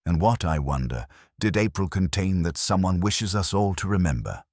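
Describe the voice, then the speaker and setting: A man performs a courteous police inspector from a mystery story. His very deep voice rises and falls expressively while staying gentle and formal. He chooses each phrase with calm deliberation, in a dry, close sound. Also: moderate pace, dry recording. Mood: civil, probing.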